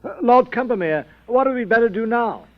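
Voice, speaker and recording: Fussy alarmed attendant, very high swooping voice, radio drama, thin phone-like old recording. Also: male voice, lively intonation, brisk. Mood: alarmed, concerned. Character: fretful, alarmed attendant of a lord.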